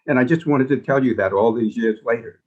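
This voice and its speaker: An older man recalling his experiences as a veteran reporter on a panel, with emphatic, halting phrasing and stressed words. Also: medium pitch, over a noisy crowd. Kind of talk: personal recollection in a panel discussion. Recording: dull, lo-fi audio.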